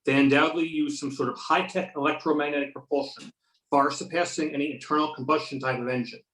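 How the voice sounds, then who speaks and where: A man's voice: fairly high pitch, steady tone, fast talker, fairly bright tone, lightly acted. Man reading as earnest agent, roomy distant sound. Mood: convinced. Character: earnest, argumentative male agent, a keen UFO believer. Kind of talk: amateur readers theater script reading. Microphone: video or conference call. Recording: roomy, distant video-conference microphone.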